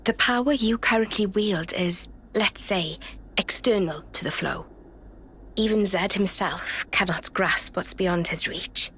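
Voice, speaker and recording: An actress playing a wise, cryptic queen in a video game, speaking with solemn, measured formality. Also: medium pitch, fairly bright tone, slight background noise, natural voice. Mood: grave, explanatory.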